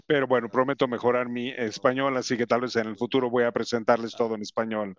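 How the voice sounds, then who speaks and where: A man with an English accent and English as his first language, giving a conference presentation in a halting way, with many fillers and restarts. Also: mid-range voice, little pitch movement, muffled recording. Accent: English speaker's Spanish. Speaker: company representative presenting to educators.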